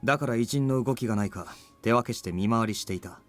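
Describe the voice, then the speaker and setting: A man's voice: medium pitch, rapid-fire, soft background music, lightly acted. A man playing a blunt, protective young fighter in a Japanese visual novel, speaking in a curt, cool manner. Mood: cool, terse. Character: gruff young man, blunt, terse but protective ally.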